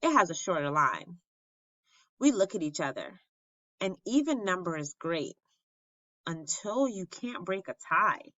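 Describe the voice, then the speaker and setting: A woman reading a children's picture book aloud like a teacher, with expressive, animated and instructive storytelling. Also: lowish voice, measured pace, pauses often. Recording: roomy, slightly muffled sound.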